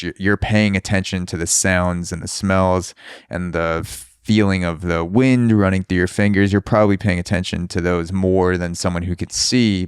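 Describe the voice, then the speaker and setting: A young man hosts a podcast in a casual, rambling way, often circling back to rephrase what he is asking. His voice sits very low and sounds smooth and resonant. The sound is close and dry. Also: dry recording. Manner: rambling, restarts questions often. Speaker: podcast host. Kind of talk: casual interview.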